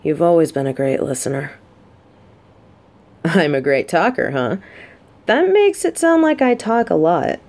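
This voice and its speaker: A woman's voice: fairly low pitch, very animated, unhurried, pauses often, darkish tone. A woman playing a guarded, sarcastic but secretly vulnerable lieutenant and bodyguard in an intimate audio roleplay. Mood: vulnerable, softening. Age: adult. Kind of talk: scripted roleplay audio drama, addressed to listener.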